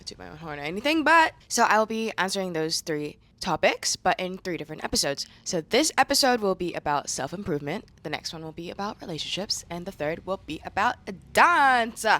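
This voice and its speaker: Chatty woman vlogger, slightly raspy voice. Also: on the low side, a bit bright. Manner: chatty, candid, slangy. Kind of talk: casual chat to camera. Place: room indoors.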